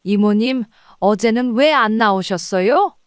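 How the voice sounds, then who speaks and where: A woman's voice: a little high, lively intonation, measured pace, clear, resonant tone, dry recording, clean studio sound. A woman playing a wronged, firm restaurant owner in an audiobook drama, direct and restrained. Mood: questioning.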